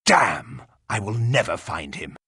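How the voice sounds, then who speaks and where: Husky, blunt warrior hero. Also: male voice, low-pitched, big pitch swings, unhurried, bright, forceful tone, dry recording, heavily acted. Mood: impatient, annoyed. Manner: blunt, terse, rude. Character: blunt, impatient resurrected warrior, gruff male hero. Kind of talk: fantasy video game dialogue acting.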